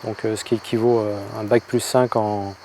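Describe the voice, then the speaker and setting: A man from France telling his personal testimony, halting and full of hesitations and restarts. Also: fairly low pitch, little pitch movement, darkish tone, slight background noise. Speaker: convert telling his story.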